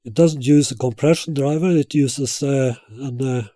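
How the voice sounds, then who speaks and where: A man presenting a technical product explanation as a loudspeaker designer, in a halting, hesitant way. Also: mid-range voice, dry recording, studio quality.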